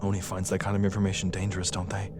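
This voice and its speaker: A man's voice: deep voice, monotone, rapid-fire, noisy background, close to an everyday voice. A man playing a grieving, defiant military sergeant in a sci-fi drama, questioning his superiors in a pointed way. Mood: restrained, suspicious. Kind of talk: sci-fi audio drama acting.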